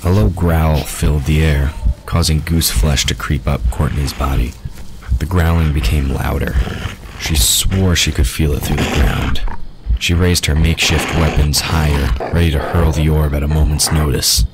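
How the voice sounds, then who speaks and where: A man with an extremely low voice narrates a fantasy audio drama from a script. Faint noise and ambient effects sit behind him. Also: steady tone, moderate pace. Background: ambient sound effects.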